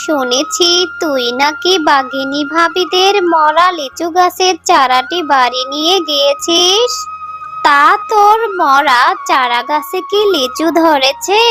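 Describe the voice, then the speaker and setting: Casting: woman playing snooty cartoon tigress auntie, high and bright, condescending scold. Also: exaggerated voice. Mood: contemptuous. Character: haughty, scornful village auntie, a tigress. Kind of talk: children's cartoon voice acting.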